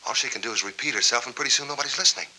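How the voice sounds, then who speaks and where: Defiant 1940s noir hero, American, very forceful edge, quick clipped delivery, insistent. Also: male voice, mid-range voice, rough recording. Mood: urgent, bargaining. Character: cornered, defiant amnesiac war veteran bargaining for a woman's safety. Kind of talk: 1940s film noir acting. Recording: 1940s film soundtrack.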